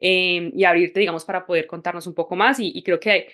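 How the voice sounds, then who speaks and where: A woman's voice: lowish voice, fairly even intonation, brisk, a bit bright. A woman hosting a podcast, introducing her guest in an upbeat, encouraging way. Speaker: podcast host.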